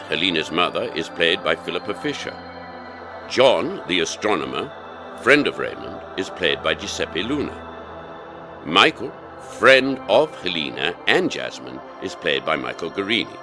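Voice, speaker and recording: A man reading cast credits as an announcer, in a scripted, presentational style. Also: sits low.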